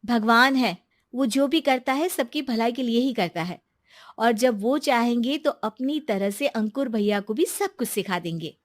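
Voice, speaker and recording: A woman voicing a caring, peacemaking young wife in a cartoon moral story, gently moralizing. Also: fairly high pitch, lively intonation, unhurried, no room sound, studio quality. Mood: reassuring.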